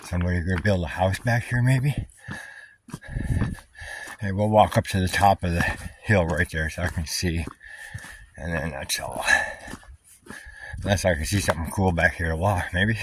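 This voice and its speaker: Guy with a deep low voice rambling to himself on a woods hike, mic a bit far off, faint hiss. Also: normal speed, pauses often. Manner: musing, wondering aloud. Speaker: hiker vlogger. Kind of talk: casual walking commentary. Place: outdoors on a wooded trail. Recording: slightly distant mic, faint background noise.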